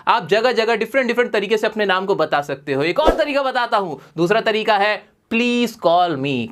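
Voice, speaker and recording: A man teaching spoken English, enthusiastic, asking his audience rhetorical questions and saying example phrases slowly and clearly. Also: high-pitched, a bit bright. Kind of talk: spoken English lesson for Hindi speakers.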